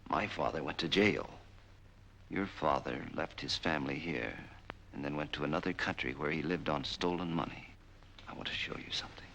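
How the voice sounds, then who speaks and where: A man's voice: rumbling low, normal speed, creaky, fairly bright tone, heavily acted. An actor playing a cold, commanding American man bent on revenge in a 1940s film noir. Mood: bitter, intense. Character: vengeful, menacing man hunting his father's betrayer. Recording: noisy 1940s film soundtrack.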